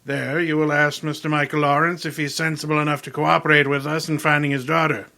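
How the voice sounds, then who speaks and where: An actor playing an imperious, unflappable genius detective in old-time radio style, formal, precise and pompous. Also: male voice, on the high side, level tone, brisk, creaky, bright, forceful tone. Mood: commanding.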